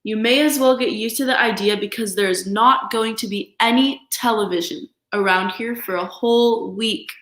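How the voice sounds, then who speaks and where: A woman's voice: on the high side, moderate pace, hardly any pauses, fairly bright tone, roomy sound, natural voice. A woman voicing a firm, matter-of-fact mother bear for a storybook.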